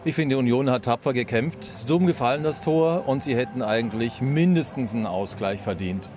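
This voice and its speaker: A man's voice: medium pitch, brisk, music in the background. A man giving casual answers in a street interview outdoors. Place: outdoors among fans.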